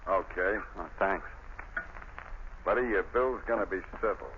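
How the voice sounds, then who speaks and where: A man's voice: low-pitched, unhurried, a little hiss or hum. An actor playing a talkative, uneasy male electrician in 1930s radio drama. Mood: chatty. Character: chatty nosy male electrician, doomed. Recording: tinny 1930s radio broadcast.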